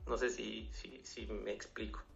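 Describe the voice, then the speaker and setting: A man's voice: on the low side, little pitch movement, measured pace, gravelly. A man, a finance professional giving earnest advice as an interview guest, often repeating words. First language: Spanish. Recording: dull lo-fi audio, faint music.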